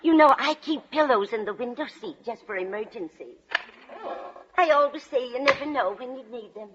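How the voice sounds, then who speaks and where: Actress as dotty, high-voiced older landlady. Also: animated, normal speed, lightly acted. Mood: fussing. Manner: motherly, scatterbrained. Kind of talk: 1940s radio comedy acting. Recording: dull, noisy vintage radio recording.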